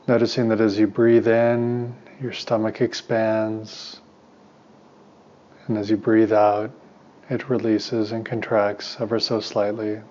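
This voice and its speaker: Guy doing a guided meditation, lowish flat calm voice, slow soothing with pauses, bit muffled audio. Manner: calm, unhurried, soothing instruction with long pauses. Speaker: meditation teacher and therapist.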